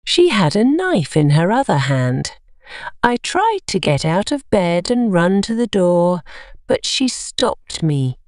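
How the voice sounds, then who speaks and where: A woman reading a scripted story for English learners, carefully and with clear enunciation. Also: fairly low pitch, big pitch swings, slow pace, a little mellow, dry recording, clean studio sound. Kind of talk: scripted audiobook narration.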